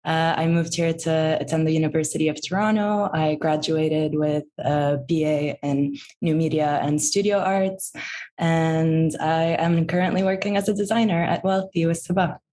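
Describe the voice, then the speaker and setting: A woman's voice: low voice, steady tone, moderate pace, mellow, slight background noise. A woman in her twenties from Lebanon, talking casually as an interview guest. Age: 20s.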